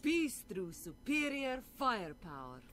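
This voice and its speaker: Tough Russian soldier, over music. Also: female voice, on the high side, big pitch swings, measured pace. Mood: proud. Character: tough, strong Russian woman soldier, proud.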